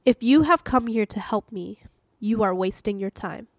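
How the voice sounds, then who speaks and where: A woman's voice: medium pitch, normal speed, no echo. A woman hosting and narrating a scripted devotional reading. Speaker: host reading daily devotionals.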